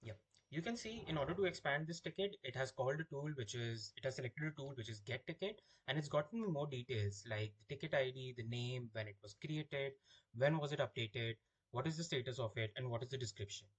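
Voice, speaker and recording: A man with a slightly husky voice giving a software demo, explaining things step by step as a product demonstrator. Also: medium pitch, animated, brisk, rough recording.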